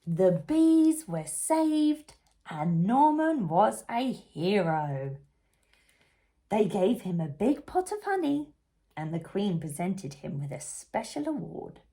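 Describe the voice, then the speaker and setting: Female storybook reader for young children, lively swooping pitch, slow pace, soft-edged mellow tone. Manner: animated, unhurried, asks the listener questions. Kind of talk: expressive storybook read-aloud for young children.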